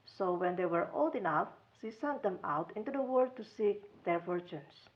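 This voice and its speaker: A woman's voice: mid-range voice, animated, moderate pace. A woman storyteller narrating a children's storybook tale, on a dull recording. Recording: dull lo-fi sound.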